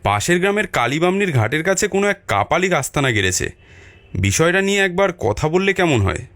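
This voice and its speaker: A man acts an earnest village man in a cartoon horror tale. He is respectful, with a fairly high, very lively voice over faint music. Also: brisk. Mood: concerned. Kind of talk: animated horror-story acting.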